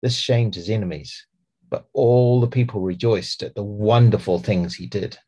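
A man with a New Zealand accent reads scripture aloud for a church podcast. His voice is low and husky. He reads in a measured, expressive way. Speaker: church speaker.

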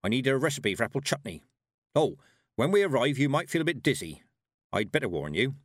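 A man voicing a stern, commanding old woman witch who talks in a brisk, no-nonsense way. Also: mid-range voice, very animated, dry recording, clean studio sound.

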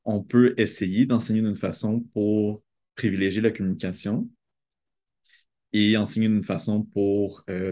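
Low-voiced young guy, mellow, thinks out loud and laughs a bit. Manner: thoughtful, reflective, laughs between thoughts. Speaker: guest, doctoral student. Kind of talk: casual conversation.

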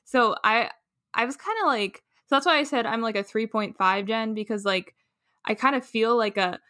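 A woman's voice: a little high, normal speed, bright, forceful tone, no room sound, studio quality. A young adult woman guest on a podcast, talking casually and rambling with many filler words. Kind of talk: casual conversation.

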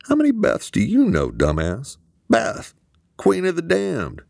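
A man voicing a frantic, excitable young man who shouts and swears into the phone. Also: sits high, a little slow, creaky, dry recording, studio quality, voice pushed up, heavily acted. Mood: agitated. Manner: loud, agitated, swearing.

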